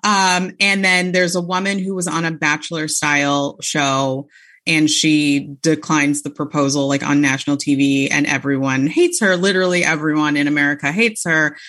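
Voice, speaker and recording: A woman's voice: deep voice, steady tone, normal speed, fairly bright tone. A woman author and bookseller chatting as an interview guest, enthusiastic and full of fillers. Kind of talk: casual interview chat.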